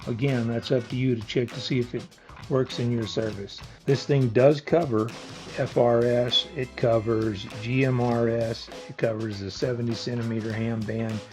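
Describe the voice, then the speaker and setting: A man's voice: mid-range voice, a little mellow. A man giving a casual, plain-spoken hands-on product walkthrough, explaining things step by step. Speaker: product reviewer and demonstrator.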